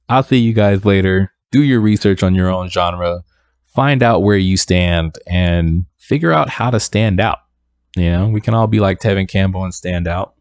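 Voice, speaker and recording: A young man with a soft, deep voice, casually giving music career advice to his viewers and often checking in with "right?". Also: no echo. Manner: relaxed, conversational, repeats points with "right?".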